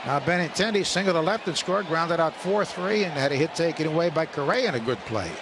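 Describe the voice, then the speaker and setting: A man's voice: fairly high pitch, lively intonation, a little fast. A man working as a radio play-by-play announcer, giving live baseball commentary. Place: stadium broadcast booth. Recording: ballpark broadcast, loud crowd noise. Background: loud stadium crowd.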